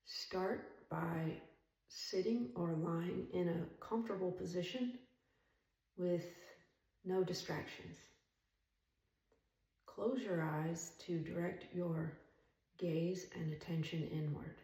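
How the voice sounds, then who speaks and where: A woman leading a guided meditation as an instructor, speaking calmly and soothingly in measured phrases with long pauses. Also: fairly low pitch. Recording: roomy echo, distant from mic.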